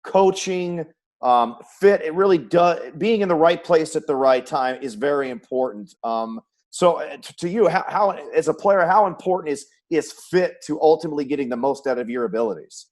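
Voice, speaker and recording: A man's voice: on the high side, expressive, moderate pace, darkish tone. A man who works as a sports broadcaster, hosting a conversational interview and posing questions.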